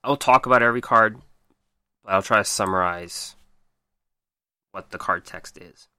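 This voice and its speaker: This is a man hosting a podcast, his voice a touch low but bright and forward, and worn hoarse from talking. He reads card stats plainly, then muses on them with frequent ums. The sound is dry and close, with no room around it. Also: moderate pace, no room sound. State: losing his voice. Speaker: podcast host. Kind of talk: casual card game review.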